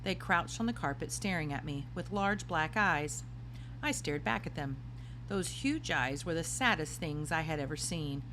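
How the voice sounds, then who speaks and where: A woman reading a children's storybook aloud in an expressive narrating style. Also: deep voice, normal speed, background noise. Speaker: storybook reader.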